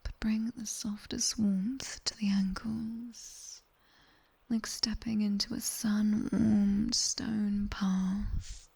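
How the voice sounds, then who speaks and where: Woman as a slow, whispering British demoness tutor. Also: medium pitch, level tone, a little mellow, heavily acted. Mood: soothing, instructing. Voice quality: breathy whisper. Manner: slow, commanding, teasing. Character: sharp-tongued seductive female nightmare demon tutor. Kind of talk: whispered ASMR roleplay, guided body scan. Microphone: close binaural microphone.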